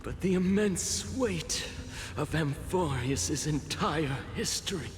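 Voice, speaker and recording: Dying bookish soldier in a game, highish voice, slow and pleading, big reverb. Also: male voice, expressive, music in the background. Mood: pleading, proud. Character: dying scholarly male soldier, proud and pleading. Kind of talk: dramatic game voice acting. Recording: long hall reverb.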